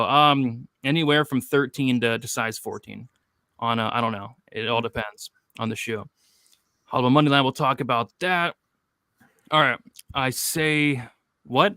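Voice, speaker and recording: Bright, clear-voiced young man hosting. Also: medium pitch, no echo, clean studio sound. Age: 20s. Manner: loose, jokey, reads chat questions aloud. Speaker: host of a betting show. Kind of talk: casual livestream chat.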